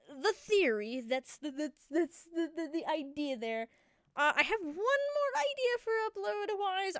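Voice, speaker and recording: A young woman with a congested voice chats about her plans as a gaming channel host. Her pitch sits a little high and her voice is smooth and clear. The recording is close and dry, with no room sound. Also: fairly bright tone, no room sound. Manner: rambling, many fillers and restarts. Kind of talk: casual chat.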